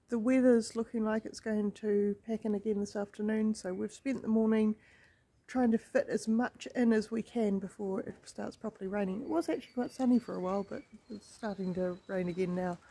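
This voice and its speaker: A woman's voice: a little high, steady tone, moderate pace, warm tone. A middle-aged woman, chatting playfully and casually for a travel vlog. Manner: chatty, playful, talks affectionately to animals. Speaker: travel vlogger. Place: outdoors at a farm park and roadside. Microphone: handheld camera at arm's length. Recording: outdoor ambient noise. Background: birds, roosters and outdoor ambience.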